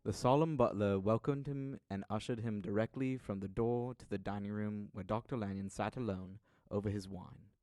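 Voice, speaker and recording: A man's voice: fairly low pitch, moderate pace, few pauses, darkish tone, no echo, clean studio sound. A young man reading scripted literary narration for an audio drama in a formal, dramatic storytelling manner.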